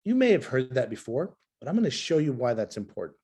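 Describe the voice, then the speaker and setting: A middle-aged man presenting over a video call, hoarse from talking nonstop, with an emphatic, repetitive sales delivery. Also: medium pitch, big pitch swings, fast talker, a little mellow.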